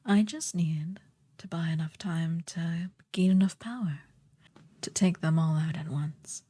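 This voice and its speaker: Evil sorceress queen, played by a woman: lowish, mellow and breathy, unhurried, haughty and ruthless, for fantasy ASMR roleplay. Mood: scornful, ruthless. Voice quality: soft, breathy, partly whispered. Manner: slow, condescending, commanding.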